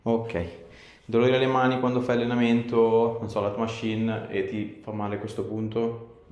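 A man's voice: mid-range voice, monotone, moderate pace, darkish tone. A male chiropractor, coaxing a patient through treatment in short, repeated directions. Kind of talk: hands-on instructions to a patient during a treatment. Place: small clinic treatment room, indoors. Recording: echoey small room with clear background noise.

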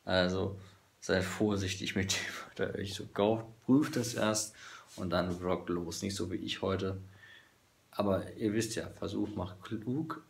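A man in his thirties, hoarse, vlogging casually to a phone camera in a small room, halting with many fillers. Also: low-pitched, steady tone. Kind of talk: casual vlog talk to camera. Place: small bedroom office indoors. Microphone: phone camera at arm's length.

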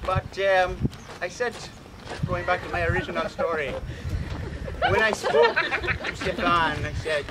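A flamboyant, self-important showman, as a man puts him on speaks in a put-on Jamaican accent. He stretches out grand, theatrical pronouncements in a raised voice. Loud open-air noise fills the background. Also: high voice. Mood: boastful. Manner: grand, theatrical, drawn-out declarations. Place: outdoor beach stage at night.

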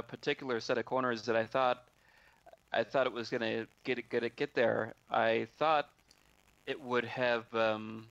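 A man casually telling a story on a video call, halting and often repeating his words. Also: medium pitch, a bit bright, smooth, dry recording, highs cut off.

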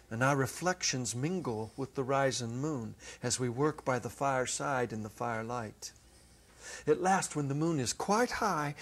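Male narrator, middle-aged, mid-pitched voice, measured and expressive literary reading. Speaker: narrator, dramatic reader. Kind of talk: dramatic reading of a literary short story. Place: indoor studio set. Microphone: lapel.

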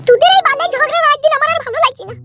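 A woman voicing an exasperated, meddling older village mother-in-law in a cartoon comedy, scolding in a pitched-up voice. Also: very fast, over loud music. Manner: scolding, repetitive.

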